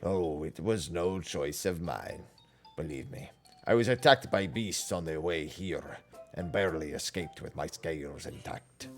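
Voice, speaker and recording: Man playing a reptilian tradesman, game character, deep, hushed, formal, rueful, proud. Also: measured pace, faint music behind.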